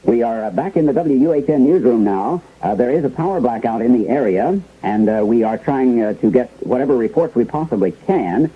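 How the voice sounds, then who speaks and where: An actor playing a flustered radio news anchor in a 1970s radio drama, halting and hesitant but trying to stay calm. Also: male voice, medium pitch, slight background noise, natural voice. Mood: flustered, urgent. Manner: halting, hesitant, stumbling delivery. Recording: dull, muffled old radio broadcast recording.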